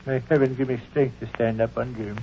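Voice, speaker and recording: A man's voice: medium pitch, flat delivery, fast, a little hiss or hum, natural voice. Casting: male actor as stubborn, wordy American dad and proud tinkerer, 1940s radio comedy, dull old recording. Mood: mock-solemn. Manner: wordy, mock-formal phrasing. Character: fussy, stubborn middle-aged father, proud home tinkerer.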